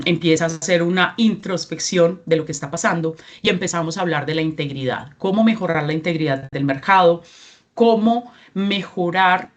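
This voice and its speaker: A woman in her forties with a Colombian accent, giving a measured presentation at a training workshop, with frequent filler sounds and restarts. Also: on the low side. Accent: Colombian Spanish. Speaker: regional representative giving a training talk.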